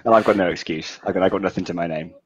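A man's voice: low-pitched, racing. A young man chatting casually as a podcast guest over a video call. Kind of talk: casual chat. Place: indoor room at home on a video call. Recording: slightly dull video-call audio.

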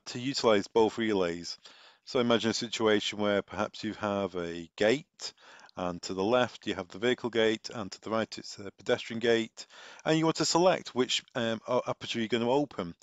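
A man's voice: fairly low pitch, no room sound, lo-fi sound. A man presenting a technical tutorial voice-over, giving instructional step-by-step directions. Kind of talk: scripted tutorial voice-over with informal walk-through.

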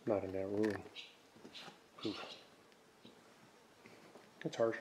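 A man with a fairly low voice narrates his exploration casually. He talks in musing, broken-up remarks as he looks around. The handheld camera recording carries clear background noise. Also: flat delivery, very slow. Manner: musing, fragmented remarks while looking around. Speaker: urban explorer vlogger. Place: inside a dark abandoned barn. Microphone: handheld camera mic.

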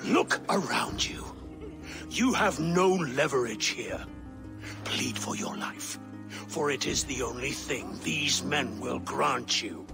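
Gravelly scornful nobleman. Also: mid-range voice, lively intonation, slow, long pauses, music in the background. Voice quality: gravelly, contemptuous. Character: scornful middle-aged male noble. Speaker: game character.

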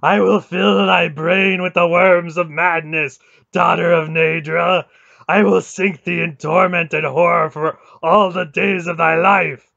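A man voicing a vast, grieving and vengeful god with loud, archaic, menacing speech in a storybook reading. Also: medium pitch, slow pace, creaky, dry recording, muffled recording. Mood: raging. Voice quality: loud, roaring, howling.

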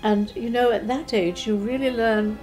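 An elderly woman in her eighties from Czechoslovakia recalls the past in a mellow, soft-toned voice. She speaks gently and unhurriedly, pausing and restarting often, with faint music under her. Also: fairly high pitch, steady tone, poor audio. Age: 80s. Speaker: interviewee. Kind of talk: recounting childhood memories. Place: living room, seated in armchair.

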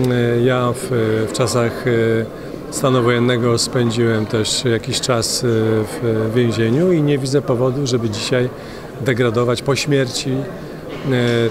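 An older man, a member of parliament, answering interview questions haltingly and with many filler sounds. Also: medium pitch, background noise. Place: large indoor parliament hall. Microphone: handheld interviewer mic.

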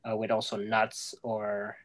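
A young man with an Italian accent speaks very slowly in a relaxed video call chat. He pauses often and searches for words as a guest explaining recipes. He is heard through a webcam from a room at home. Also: lowish voice. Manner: hesitant, many fillers and restarts. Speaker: guest cook.

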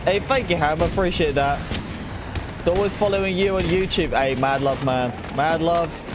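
Young man, gaming streamer, slightly high voice, stutters now and then, gunfire behind. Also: normal speed, background noise. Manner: chatty, thanks viewers, stutters at times. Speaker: gaming livestreamer. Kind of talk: casual livestream chat while playing. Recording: game sound mixed loud behind voice. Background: game gunfire and explosions.

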